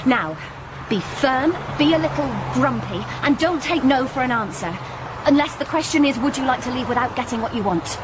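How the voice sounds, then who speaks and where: Wry scheming young woman, deadpan, playful wordplay, radio drama narration, ambient background noise. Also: sits high, normal speed. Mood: brisk, bossy. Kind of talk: radio comedy drama, first-person narration and dialogue.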